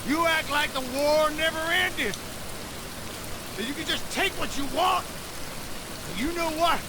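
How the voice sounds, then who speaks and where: A man's voice: extremely high pitch, takes it slowly, noisy background. An actor playing an enraged, vengeful father and lawman in a western game, threatening and confrontational. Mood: accusing, threatening. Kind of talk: western game cinematic acting.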